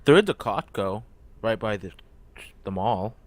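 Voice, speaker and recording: A man's voice: on the low side, animated, a little slow, no room sound. A man taking part in a role-play game, reasoning casually with the other players.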